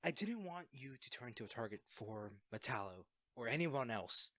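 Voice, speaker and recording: A man's voice: medium pitch, animated, moderate pace, a bit bright, no room sound, lightly acted. A man acting a young superhero in an audio roleplay, a sheepish, caring best friend who speaks conversationally and a little hesitantly. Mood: earnest.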